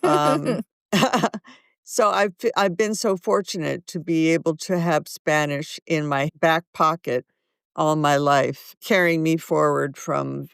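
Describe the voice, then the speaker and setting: A woman's voice: low-pitched, creaky, clean tone, no room sound, clean studio sound. A woman in her seventies, a guest author, telling thoughtful stories in an interview. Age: 70s. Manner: slow, thoughtful, warm anecdotes. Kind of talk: reflective interview storytelling.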